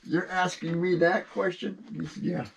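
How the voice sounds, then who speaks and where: A man chatting casually and telling anecdotes in an animated way, like a hobbyist showing off a collection. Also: high voice, normal speed, creaky, a little hiss or hum, low-quality recording. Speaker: CB radio collector. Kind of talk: casual storytelling and chat.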